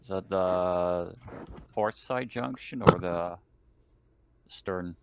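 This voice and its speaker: A man's voice: low-pitched, drawn-out words, creaky, background noise. A man talking casually as a player in a tabletop roleplaying game.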